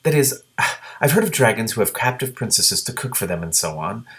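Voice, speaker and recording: A man's voice: on the low side, big pitch swings, brisk, fairly bright tone. A man voicing a young princess from a storybook, polite and hesitant but plucky. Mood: cautious.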